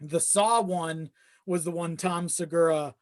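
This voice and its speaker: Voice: mid-range voice, fairly even intonation, a little slow. A podcast guest chatting casually in an informal, sweary and self-deprecating way. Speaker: video editor.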